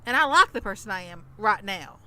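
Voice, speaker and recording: Woman, thirties, podcast co-host, casual chat, animated storyteller, bright, smooth voice. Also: mid-range voice, a little fast. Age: 30s. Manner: animated storytelling, acts out quoted people.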